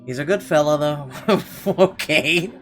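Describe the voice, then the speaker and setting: Gamer guy reading story text out loud, casual side comments, guitar music going behind him. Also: a little high, slow pace. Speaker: let's player reading game text aloud. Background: guitar game music.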